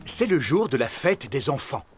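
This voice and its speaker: Un homme qui joue le narrateur amical d'un dessin animé pour enfants, annonçant les choses simplement. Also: male voice, medium pitch, big pitch swings, moderate pace, lightly acted. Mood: friendly, explanatory. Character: friendly adult male storyteller of preschool cartoon. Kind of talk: scripted children's cartoon narration. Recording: loud music behind the voice.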